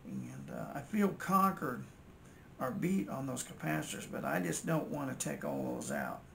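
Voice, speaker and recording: Older American male hobbyist, fairly high creaky voice, casual folksy think-aloud narration at a workbench. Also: moderate pace. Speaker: electronics repair hobbyist and video host. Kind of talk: casual muttered narration while working at repairs. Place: indoors at a repair workbench. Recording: steady noise, home-made workbench recording.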